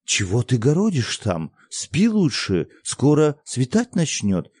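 Man doing a gentle peasant mother, soft, hushing, mellow, dry. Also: medium pitch, wildly swooping pitch, takes it slowly, slightly rough, no echo, voice pushed up, full character voice.

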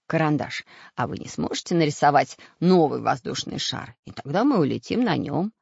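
An older male professor in a storybook read by a woman, weary and anxious. He speaks gently, puffing a little, in a dry, slightly muffled recording. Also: low-pitched, sing-song, moderate pace, creaky, husky, no room sound, exaggerated voice. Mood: tired, fearful. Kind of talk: children's storybook character voice.

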